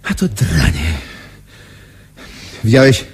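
An actor in a radio drama playing a sardonic, excitable secret-service major with a husky voice. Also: male voice, on the low side, slow, exaggerated voice. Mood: furious.

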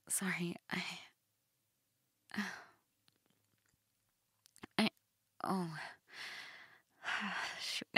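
A young goth woman, acted by a woman in an intimate roleplay audio, teasing yet shy. She speaks slowly in a breathy, half-whispered voice with plenty of vocal fry, recorded close and dry. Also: mid-range voice, no room sound, exaggerated voice. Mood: flustered. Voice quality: breathy, often dropping to a whisper. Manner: hesitant, teasing, soft-spoken.

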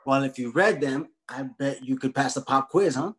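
A man's voice: medium pitch, fairly bright tone, a little hiss or hum, natural voice. Man as easygoing, streetwise young book lover. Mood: teasing, easygoing. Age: young adult. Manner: casual, slangy, halting. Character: easygoing young bookish taco shop worker, streetwise, encouraging. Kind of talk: comedic table read. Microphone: laptop video call.

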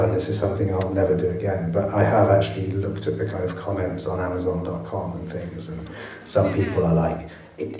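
A man with a low, dark voice answers audience questions as a film director. He talks thoughtfully and often restarts his sentences. The sound is distant and echoey, like a hall heard from far off. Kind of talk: post-screening Q&A answers. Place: cinema auditorium.

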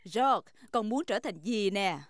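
A woman's voice: mid-range voice, expressive, slow, no echo. A woman voicing a kindly grown-up rabbit face painter in a children's cartoon dub. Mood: coaxing.

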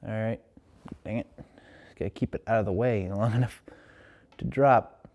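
A man's voice: lowish voice, steady tone, normal speed, darkish tone. Young man in an echoey garage. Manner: plain, thinking aloud while working. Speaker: DIY tester. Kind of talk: casual workshop vlog. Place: garage workshop. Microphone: far camera.

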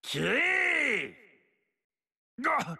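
An actor playing a greedy, cruel old warlord in a video game, barking orders and battle cries in a gravelly voice. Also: male voice, very high-pitched, huge pitch swings, extremely slow, full character voice. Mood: blustering. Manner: blustering orders and battle shouts. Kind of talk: video game action voice lines. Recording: long hall reverb.

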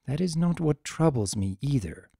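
A man's voice: fairly high pitch, animated, normal speed, creaky, dark tone, breathy edge, no echo, studio quality, exaggerated voice. A man voicing a wise, regretful elder pony princess in a dramatic story reading, grave, restrained and formal. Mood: grim.

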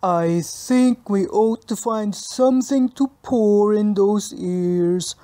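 A woman's voice: mid-range voice, takes it slowly, warm tone, lightly acted. A woman voicing a round, red-faced, mean schoolboy bully in a children's storybook. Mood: slow menace.

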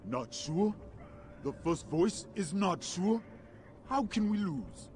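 A man's voice: high voice, expressive, slow, darkish tone. A man playing a hostile, vengeful alien warrior leader in a video game, commanding and scornful in formal phrases. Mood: scornful anger. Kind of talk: video game character acting. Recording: clear noise behind the voice.

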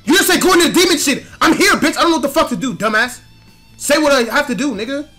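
Young guy on game chat, really high voice, swooping all over, cussing and fed up. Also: expressive, fast talker, bright, forceful tone. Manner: exasperated, heavy swearing. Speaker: gaming video creator. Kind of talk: casual gaming voice chat. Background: background music.

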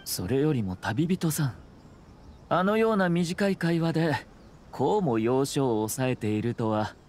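Casting: refined young aristocrat in an anime game, mid-pitched, composed, formally polite, unhurried authority; faint ambience behind. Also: male voice, big pitch swings, a little mellow, a little hiss or hum. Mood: calm, reflective. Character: composed, courteous young nobleman and clan commissioner.

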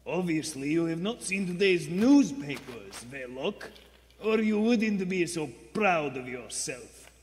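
Man as Vladimir, high-voiced menacing spy boss. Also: big pitch swings, soft background music. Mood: scornful, menacing. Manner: deliberate, scornful, controlled. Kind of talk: vintage radio play acting. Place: theater stage before a live audience. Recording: echoey theater stage.

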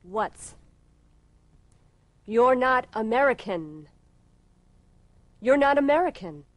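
Woman, English teacher, slow deliberate drills, lively up-and-down pitch, dry close sound. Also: dry recording. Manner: repeats each phrase carefully, clearly articulated.

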